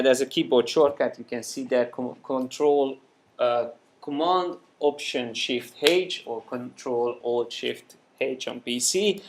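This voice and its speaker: A man whose first language is Hungarian leads a live software class, his voice bright and forward. He talks off the cuff, with frequent fillers and restarted sentences, and keeps asking the room questions. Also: medium pitch. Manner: loose, unscripted explaining with many fillers and restarts. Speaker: software trainer. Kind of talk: live screencast class with an audience.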